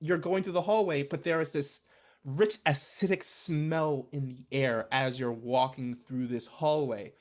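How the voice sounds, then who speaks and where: A podcast co-host in casual comedic chat, telling stories in an animated, emphatic way. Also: mid-range voice, a little slow. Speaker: podcast co-host and game master.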